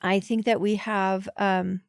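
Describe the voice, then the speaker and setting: A woman in her forties speaks as a podcast guest in a chatty, emphatic way, often restarting her thoughts. Her voice sits at a middle pitch. The recording is dry and close. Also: flat delivery, slow, clean tone, no echo. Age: 40s. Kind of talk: casual conversational interview.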